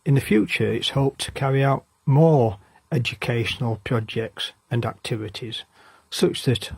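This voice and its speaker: Husky male narrator, dry recording. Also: mid-range voice, a little slow, no echo. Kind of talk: scripted informational narration.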